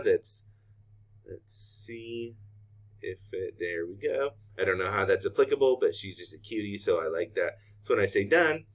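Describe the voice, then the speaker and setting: Low-pitched man giving a casual tutorial. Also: fairly bright tone. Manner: casual, unscripted, thinking aloud while clicking. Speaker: software trainer. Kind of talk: informal screen-share tutorial.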